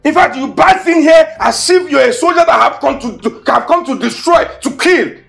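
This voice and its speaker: List: man playing young boyfriend, broke, defensive, quick temper, gravelly voice, music underneath. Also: extremely high pitch, lively intonation, heavily acted. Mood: angry. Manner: pleading then shouting in bursts. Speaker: actor. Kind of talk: Nigerian film drama acting.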